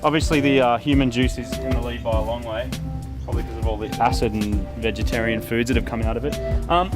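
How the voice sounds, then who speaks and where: A man's voice: medium pitch, fairly even intonation, fast, over loud music. An Australian man explaining car work to camera in a casual, chatty, matey way. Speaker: host of a car modification show.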